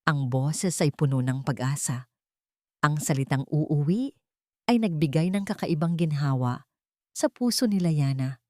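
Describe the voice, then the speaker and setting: Female story narrator, lowish register, solemn measured phrasing, dry studio-like sound. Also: lively intonation, darkish tone, dry recording. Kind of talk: scripted dramatic story narration.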